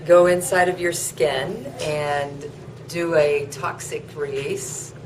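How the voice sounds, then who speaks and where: A woman passenger who talks slowly and cheerfully, pausing on fillers as she tells her story. Clear background noise runs behind her. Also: low-pitched, fairly even intonation, poor audio. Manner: unhurried, cheerful, halting with fillers. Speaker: cruise passenger interviewed. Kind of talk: casual chat.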